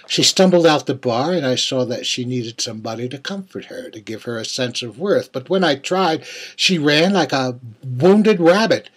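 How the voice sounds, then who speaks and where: A man performing a dramatic monologue as a menacing, wistful serial killer confessing in an intimate, halting, husky voice. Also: medium pitch. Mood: grim, confiding. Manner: intimate, halting, dropping to near-whispers. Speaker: writer reading own monologue.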